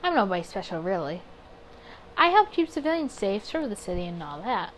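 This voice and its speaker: A woman's voice: mid-range voice, very animated, brisk, slight background noise, voice pushed down, natural voice. A woman playing a wary, grumbling male detective in a 1920s visual novel, with a sardonic, suspicious manner. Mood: guarded, sardonic.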